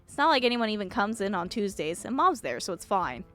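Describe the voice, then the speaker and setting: A defiant teenage boy from a visual novel played by a woman reading aloud, sulky and dismissive. He grumbles his answers at a woman's middle pitch, with music underneath. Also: very animated, fairly quick, a bit bright. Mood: sullen, defensive. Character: sullen, defiant teenage boy, a younger brother.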